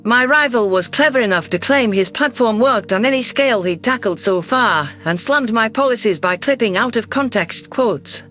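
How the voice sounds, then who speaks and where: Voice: medium pitch, animated, moderate pace, hardly any pauses, fairly bright tone. A narrator reading a first-person science fiction story in a scripted, audiobook style.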